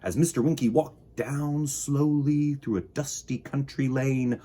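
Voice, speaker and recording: A man reading a children's picture book aloud in a theatrical, deliberate storytime style. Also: mid-range voice, mellow. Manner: dramatic, deliberate, with sound effects and exclamations.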